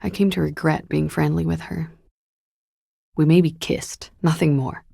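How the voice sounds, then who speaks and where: Low, husky, weary young fugitive woman. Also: steady tone, a little slow, dark tone, no echo, studio quality, full character voice. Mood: wary, confiding. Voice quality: low, breathy, husky and gravelly. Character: weary, guarded young woman on the run, evasive and melancholic. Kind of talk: video game character dialogue, intimate and understated.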